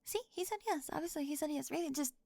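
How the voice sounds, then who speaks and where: A woman voicing a flustered, teasing high school cheerleader in an ASMR roleplay, halting and giggly, often dropping into breathy murmurs. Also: darkish tone, no echo. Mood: nervous, insistent. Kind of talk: ASMR roleplay audio drama.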